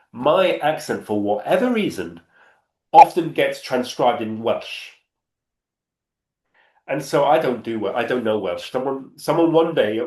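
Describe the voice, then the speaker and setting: A man's voice: mid-range voice, darkish tone, reverberant. A man answering audience questions on a panel, explaining at length and often restarting his phrases. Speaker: panel speaker. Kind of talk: conversational Q&A answer.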